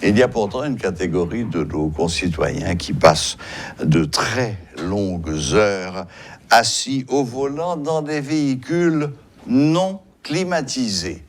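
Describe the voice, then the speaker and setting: A man hosting a TV talk show, speaking hesitantly with many pauses. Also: fairly low pitch, animated. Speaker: host.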